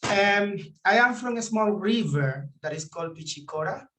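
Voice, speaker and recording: A man with a Colombian accent, a guest speaker on a video call, talking in a halting way with many fillers. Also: sits high, expressive, pushed and bright, slight background noise. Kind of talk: casual conversational explanation. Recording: distant mic on a video call.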